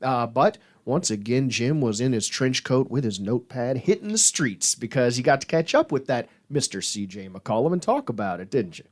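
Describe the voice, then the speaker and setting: A man's voice: mid-range voice, sing-song, moderate pace, few pauses, darkish tone. A man hosting a sports podcast, talking basketball casually in a folksy, enthusiastic fan-like way.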